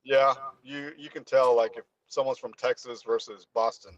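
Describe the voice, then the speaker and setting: A man's voice: medium pitch, steady tone, normal speed. Casual man on slightly dull chat audio. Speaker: community member in the chat. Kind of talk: casual banter.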